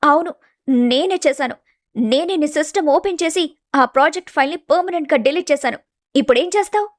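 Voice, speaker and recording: A woman's voice: high voice, animated, a little fast, no room sound, clean studio sound. A woman voicing a jealous, scheming young office rival in a story drama, defiant and accusatory. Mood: angry, shouting. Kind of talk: dramatized family story voice acting.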